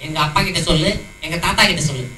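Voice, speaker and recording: A woman's voice: low voice, very fast, bright, forceful tone. Cheeky naughty puppet boy, a woman voicing him. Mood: taunting. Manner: teasing, mischievous, laughing. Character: naughty boy Tinku, cheeky chicken thief. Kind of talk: children's puppet show. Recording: echoey hall, far mic, noisy.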